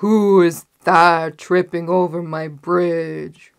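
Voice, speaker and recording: A woman's voice: lowish voice, steady tone, slow, vocal fry, clear, resonant tone. A woman voicing a great ugly storybook troll, roaring and menacing, drawing out the words. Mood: roaring, challenging.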